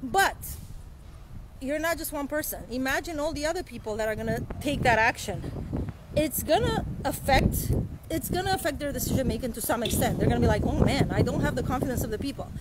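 A woman's voice: sits high, expressive, brisk. A woman in her forties, a native Romanian speaker, talking earnestly into a phone held at arm's length as she walks outdoors, rambling with many restarts. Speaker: vlogger. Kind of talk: casual livestream talk. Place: outdoors by a street, walking. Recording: loud street noise.

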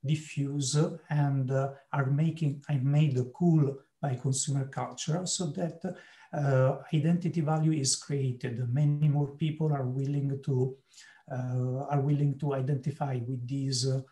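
A man with a Sicilian Italian accent giving a keynote lecture over a video call, speaking in an unhurried, halting way with many fillers. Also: medium pitch, little pitch movement, warm tone, echoey room. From Italy, Sicily. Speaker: keynote speaker, marketing professor.

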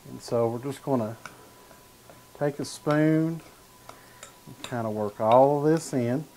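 Man, 30s, cooking show host; slow, relaxed demo patter, mid pitch, lapel mic in a studio kitchen. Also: a little hiss or hum. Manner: easygoing, talks while stirring and slicing, frequent "uh" and "gonna". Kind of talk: cooking demonstration. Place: home-style studio kitchen, indoors.

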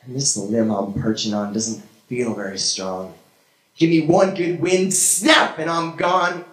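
A young man performing spoken word poetry on a small church stage with a handheld mic, dramatic and swinging from hushed to shouted. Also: medium pitch, steady tone, measured pace. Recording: echoey room from a far camera.

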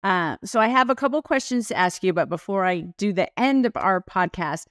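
A woman's voice: medium pitch, animated, fairly quick, fairly bright tone, dry recording, clean studio sound. A woman in her fifties hosting a podcast over a video call, asking questions and encouraging her guest. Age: 50s. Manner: encouraging, brief affirmations while a guest speaks. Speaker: podcast host. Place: small indoor room. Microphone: video call with a studio microphone.

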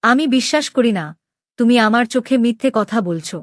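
A woman plays a young princess in a cartoon drama, her voice fairly high and closely recorded. She is proud and wounded in love, pressing her questions with open, demanding emotion. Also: moderate pace, dry recording, clean studio sound, lightly acted. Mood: disbelieving, upset. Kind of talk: dramatic Bengali animated story dialogue.

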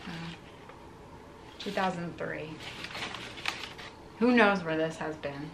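A woman's voice: low voice, takes it slowly, gravelly, background noise. A woman in her thirties talking casually to camera as she hosts an unboxing vlog, musing aloud in a conversational way. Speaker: content creator, video host. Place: living room, indoors.

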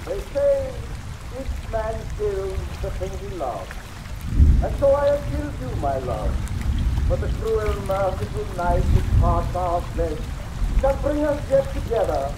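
An actor playing a vain, fretful stage star with a grandiose, hesitating delivery in a vintage radio drama. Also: male voice, high voice, a little slow, noisy background. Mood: anguished, declaiming. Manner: grandiose, effusive, flustered hesitations. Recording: old radio broadcast under steady rain and water.

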